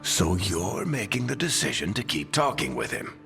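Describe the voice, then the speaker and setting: An actor playing a seasoned, injured team leader in a video game, wry, casual and encouraging. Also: male voice, deep voice, wildly swooping pitch, normal speed, vocal fry, fairly bright tone, big-room sound, soft background music, heavily acted. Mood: frank, caring.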